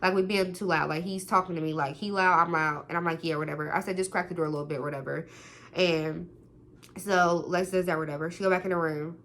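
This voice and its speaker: Loud, animated young woman telling a story. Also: fairly low pitch, quick pace. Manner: loud, animated, quotes people in different voices. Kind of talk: casual storytime monologue.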